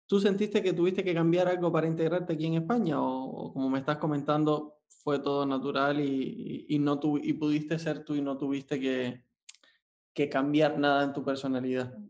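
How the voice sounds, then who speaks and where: A man's voice: on the high side, flat delivery, normal speed, a little mellow. A man hosting a conversational interview podcast, asking questions in an informal way, on slightly muffled audio.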